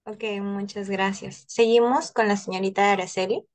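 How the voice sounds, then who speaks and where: A woman's voice: medium pitch, fairly even intonation, moderate pace. A young woman with a Peruvian accent, moderating a structured focus group on a video call. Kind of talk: structured group discussion, introducing questions.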